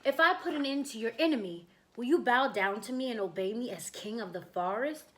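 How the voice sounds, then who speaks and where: A woman's voice: fairly high pitch, animated, a little fast, natural voice. A woman voicing a brave, proud storybook lion with a kingly, confident and declarative delivery. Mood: resolute.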